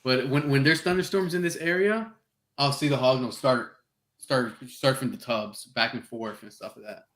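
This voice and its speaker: A man from South Florida hosting a podcast, speaking in a relaxed, hesitant way with frequent "um" and "you know". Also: mid-range voice, moderate pace. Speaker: podcast host. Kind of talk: casual podcast interview. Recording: echoey room.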